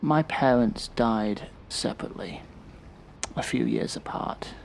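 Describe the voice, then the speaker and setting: An actor playing a weary, resentful man of about thirty in an audio drama, speaking in a bitter, sardonic and deliberate way. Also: mid-range voice, creaky, slight background noise, rough recording. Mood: wry, reflective. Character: weary, resentful thirty-year-old man confiding in a therapist. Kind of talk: audio drama acting, monologue.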